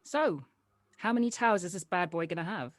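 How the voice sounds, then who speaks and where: A woman's voice: lowish voice, expressive, fairly quick, no room sound, clean studio sound, close to an everyday voice. A woman acting a wry, guarded adult who humours a child in a casual, teasing way at a script read. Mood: playful, teasing. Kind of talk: acted script table read.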